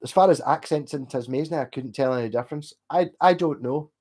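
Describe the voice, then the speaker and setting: A man's voice: fairly high pitch, a little mellow. A middle-aged man with a Glasgow accent chatting casually and at length, rambling through stories and reading out messages. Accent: Glasgow Scottish. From Scotland. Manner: rambling, chatty, swears and laughs, slows down when asked. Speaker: streamer reading chat. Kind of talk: casual chat.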